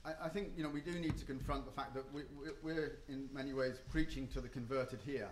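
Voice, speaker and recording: A man talks at a conference panel in a hotel meeting room, pausing often with ums and restarting his sentences. His voice sits at a middle pitch and carries the echo of a roomy hall, with faint ambience behind it. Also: steady tone, background noise. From not Japan or the US. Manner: hesitant, many ums and restarts.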